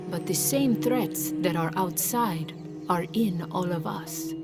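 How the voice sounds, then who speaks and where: Wry, cryptic female merchant, lowish and unhurried, philosophical game character over loud music. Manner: slow, philosophical, teasing. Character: wry, sage woman outcast merchant, amused and cryptic. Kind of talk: video game cutscene acting.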